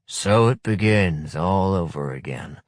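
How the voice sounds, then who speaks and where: A man voicing a sour, gruff former soldier who growls his words. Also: fairly low pitch, takes it slowly, fairly bright tone, no room sound, studio quality.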